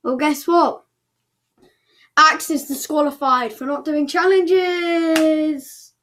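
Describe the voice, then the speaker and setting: Woman, high-pitched voice, casual show host, chatty results commentary, off-the-cuff. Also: fairly even intonation, takes it slowly, background noise. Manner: chatty, off-the-cuff, thinking aloud. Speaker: host of an online object show.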